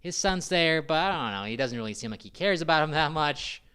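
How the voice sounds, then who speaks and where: A man's voice: a little high, lively intonation, a little fast, bright, forceful tone, clean tone. A man co-hosting a movie podcast, skeptical and analytical as he argues his point. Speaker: podcast co-host. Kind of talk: casual movie critique chat.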